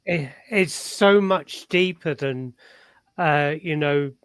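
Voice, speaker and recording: Male co-host, somewhat high-pitched, conversational, halting word-searching delivery, very dry sound. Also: smooth, no room sound. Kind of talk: casual conversation.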